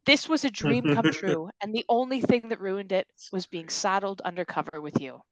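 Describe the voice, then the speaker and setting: A woman's voice: medium pitch, moderate pace, dry recording, dull audio, close to an everyday voice. Woman acting a scornful spy villain, close dry sound. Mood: cold, mocking. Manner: mocking, profane. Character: scornful, ruthless female secret-agent traitor. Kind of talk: virtual table read of a comedy script.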